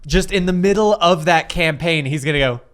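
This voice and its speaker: A man's voice: on the high side, a little fast, a bit bright. A man playing on a tabletop game show, chatting in a playful, informal way. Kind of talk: casual joking banter.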